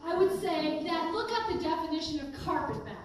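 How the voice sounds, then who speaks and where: A woman's voice: very high-pitched, expressive, a little fast. A woman running for Congress, answering audience questions at a town hall in an earnest, conversational way, turning emphatic when she defends herself. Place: community town hall meeting room. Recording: echoey hall, voice from far off, noisy.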